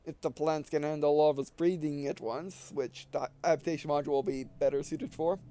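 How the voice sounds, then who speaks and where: A man in his twenties giving casual, halting let's play commentary, often repeating phrases as he goes. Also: a little high, steady tone, mellow, a little hiss or hum. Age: 20s. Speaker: gaming video host. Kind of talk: casual let's play commentary, reading game text aloud.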